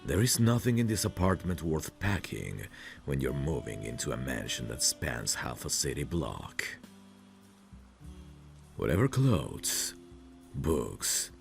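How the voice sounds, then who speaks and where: A man playing a possessive, protective mafia boss in an intimate audio roleplay, calmly menacing, commanding and smug. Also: heavily acted. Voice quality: deep, gravelly. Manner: slow, commanding, smug, teasing endearments.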